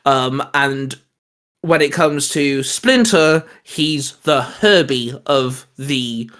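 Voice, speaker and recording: A man chatting casually as a host pitching geeky ideas, rambling and restarting his sentences as he goes. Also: extremely slow, fairly bright tone. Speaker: host of a comics discussion show.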